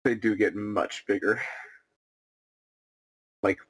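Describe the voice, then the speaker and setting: A man playing a tabletop roleplaying game, speaking calmly and politely in character. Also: fairly low pitch, slow, husky. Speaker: player.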